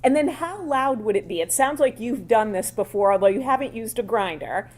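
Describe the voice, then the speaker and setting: A woman attorney questioning a witness in court, with a loud voice and a conversational, plainspoken style of quick follow-up questions. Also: on the high side, lively intonation.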